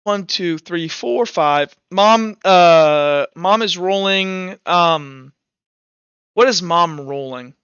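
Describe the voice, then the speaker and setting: High-voiced man running a tabletop game. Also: very slow, a bit bright, clean tone, no room sound, lo-fi sound. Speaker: game master. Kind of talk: tabletop roleplay narration and casual rules talk.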